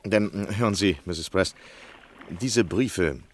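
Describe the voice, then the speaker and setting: An actor playing an earnest, cunning literary critic with dramatically weighted phrasing in a 1960s German radio drama. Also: male voice, deep voice, slow pace. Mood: fervent, secretive. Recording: faintly noisy 1960 radio recording.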